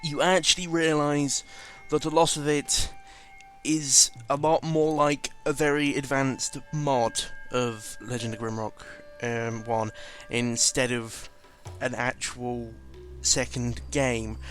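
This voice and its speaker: A man's voice: mid-range voice, fairly bright tone. A man giving casual, rambling game commentary, informal and sweary, often correcting himself as he goes. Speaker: gaming video commentator.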